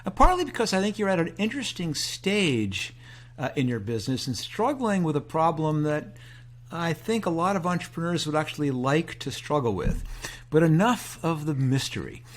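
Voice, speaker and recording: A man hosting a podcast interview, asking questions in a relaxed, folksy way with plenty of fillers. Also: a little high, moderate pace. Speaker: podcast host. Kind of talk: conversational interview.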